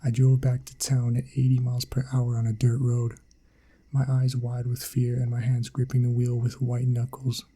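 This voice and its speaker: A man reading a scripted first-person horror story in an ASMR style, with a dramatic, suspenseful delivery and a husky, gravelly voice. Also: mid-range voice, monotone, moderate pace, warm tone. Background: background music.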